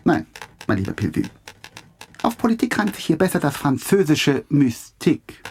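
An actor playing a pompous, condescending male public prosecutor who declaims in a husky voice in a German radio play. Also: a little high, very animated, normal speed, darkish tone, a little hiss or hum. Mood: pompous, declaiming.

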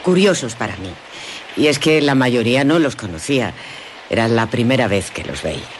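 An actress playing Laura, a wistful, easily amazed young gentlewoman in a Spanish radio drama, with a Castilian accent, moving between hushed narration and excited exclamation. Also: rumbling low, moderate pace, a little hiss or hum.

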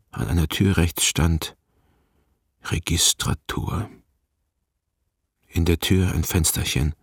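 An old herdsman in a radio drama, looking back in sorrow. His voice is deep and husky, with some gravel in it. He narrates in a subdued, measured way. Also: no room sound, clean studio sound, exaggerated voice. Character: grieving old Kyrgyz herdsman recalling his life.

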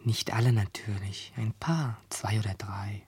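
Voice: medium pitch, fairly even intonation, a little slow, a little mellow, slightly rough. A performer playing a reluctant, questioning boy in a German radio play, hesitant and stubborn in manner. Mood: secretive, defensive.

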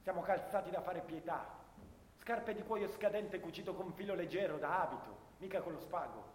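Male actor, embittered alpine soldier, high male voice, indignant theatrical monologue, sarcastic, radio drama, reverberant hall. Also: normal speed, lightly acted. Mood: indignant complaint. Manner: indignant ranting, rhetorical questions, sarcastic. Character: bitter, angry Italian alpine infantryman of the First World War. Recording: roomy, reverberant hall with faint noise.

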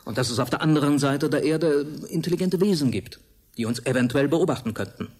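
An actor playing a grave, alarmed older professor in a German radio drama, lecturing emphatically. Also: male voice, fairly high pitch, fairly quick, a little mellow, natural voice. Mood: grave, insistent. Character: grave, alarmed male professor warning of war.

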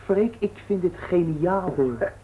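An actor playing a cocky, playful student son, eager and jocular, in a 1960s radio play. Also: male voice, high voice, takes it slowly. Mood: curious, eager. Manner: cheeky, jocular. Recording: narrow, tinny 1960s radio recording.

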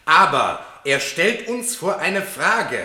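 A shrewd defence lawyer for a radio play. His voice is forceful and very animated, moving between grand rhetoric and dry, courteous counsel. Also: on the high side, exaggerated voice. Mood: impassioned pleading. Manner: rhetorical, emphatic in court, dry and measured in private. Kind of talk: German radio play acting.

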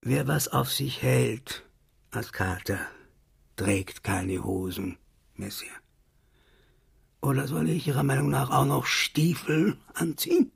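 An actor playing a vain, sulky trickster tomcat in a radio play, with a husky, creaky voice and pompous, wordy delivery. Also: male voice, mid-range voice, slow pace, long pauses, full character voice. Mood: offended, pompous. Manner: pompous, wordy, mock-dignified.

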